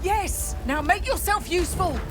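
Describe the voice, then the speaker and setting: A woman's voice: very high voice, lively intonation, moderate pace, over music. An actress playing a commanding woman soldier in a fantasy video game, straining as she barks urgent orders.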